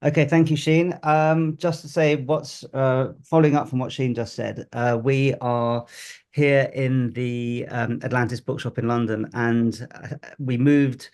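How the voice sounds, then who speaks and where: A man's voice: medium pitch, fairly even intonation, a little slow, a little mellow, clean tone. A man organizing a study group meeting, introducing the speaker from a bookshop room with frequent restarts.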